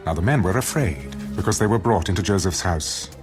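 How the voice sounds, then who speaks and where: A man narrating a dramatized audio Bible in a solemn, measured storytelling style. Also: low voice, lively intonation, over music.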